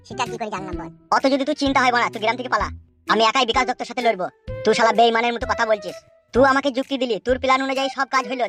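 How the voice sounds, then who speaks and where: A man voicing an anxious, foul-mouthed young village troublemaker in a comedy cartoon, bluntly arguing in a rural West Bengal accent. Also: very high-pitched. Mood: arguing, worried. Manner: blunt, argumentative, rambling.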